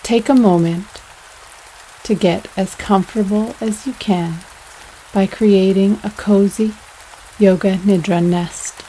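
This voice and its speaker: Slow, mellow woman guiding meditation. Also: mid-range voice, slight background noise, rough recording. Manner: soothing, unhurried, pausing between phrases. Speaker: yoga nidra guide. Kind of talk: guided meditation. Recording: steady rain sounds over voice. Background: rain sounds.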